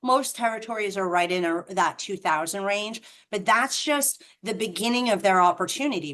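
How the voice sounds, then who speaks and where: A woman's voice: medium pitch, a bit bright. A middle-aged woman with a Boston accent, a franchise representative explaining the business on a video call, chatty with frequent ums and restarts.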